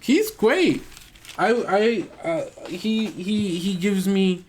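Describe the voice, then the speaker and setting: A shy little girl, acted by an adult game master in a tabletop game. The voice is lifted high, with halting, simple phrases and an innocent, earnest temper. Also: a little hiss or hum. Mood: shy, fond. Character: shy, innocent little girl who adores her dad.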